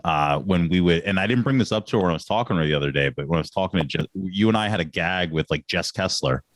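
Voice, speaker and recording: A man's voice: deep voice, quick pace, dry recording. A man hosting a podcast, chatting casually with a former classmate, full of fillers and chuckles. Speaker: podcast host. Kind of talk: casual interview.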